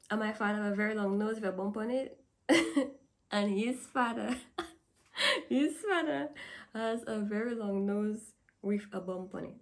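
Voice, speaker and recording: Young Dominican woman, casual vlogger, chatty, repeats herself, laughs often. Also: medium pitch. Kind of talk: casual talk to camera.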